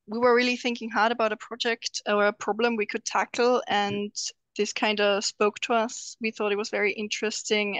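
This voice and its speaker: A woman in her twenties from Austria, a student presenting over a video call, pausing often with ums. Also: mid-range voice, fairly bright tone, no echo, low-quality recording. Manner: hesitant, many ums.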